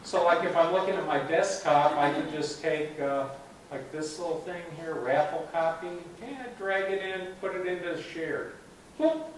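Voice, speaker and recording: A man's voice: a little high, a little slow, a little hiss or hum. A man presenting at a computer club meeting, explaining a demo step by step in a chatty way and saying "okay" often. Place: meeting room, heard from a distance. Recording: echoey room, far from the mic.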